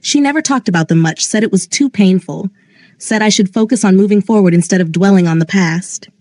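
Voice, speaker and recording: A woman's voice: medium pitch, brisk, a little mellow, no echo, natural voice. A woman voicing a frightened young woman who speaks in a small, uncertain way. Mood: shaken.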